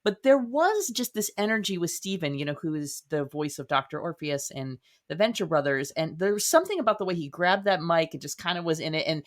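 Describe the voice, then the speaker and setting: A woman chatting casually as an interview guest, telling things in an enthusiastic, expressive way. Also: on the low side, brisk, few pauses. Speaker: guest writer. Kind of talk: casual chat.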